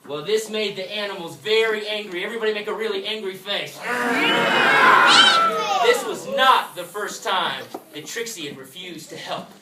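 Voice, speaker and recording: A woman hosting a live, interactive story for children in an animated, folksy, exclamatory way. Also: mid-range voice, moderate pace, over a noisy crowd, heavy background noise. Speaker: puppet show host and storyteller. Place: indoor room with an audience. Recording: echoey room, distant mic, music.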